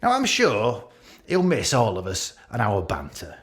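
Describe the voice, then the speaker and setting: A man's voice: fairly low pitch, huge pitch swings, unhurried. A man playing an awkward, catty office boss with a British accent, giving a hesitant, rambling, jokey leaving speech in a radio play. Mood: awkwardly jovial. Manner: hesitant, repetitive, jokey with barbed asides.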